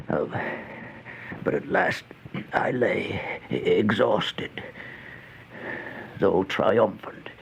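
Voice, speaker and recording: An actor playing an exhausted, starving homeless man who recounts a horror tale in a halting, breathy, theatrical old-time radio style, often dropping toward a whisper. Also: mid-range voice, exaggerated voice. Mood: exhausted, haunted. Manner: halting, slow, theatrical, anguished. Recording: noisy old radio recording.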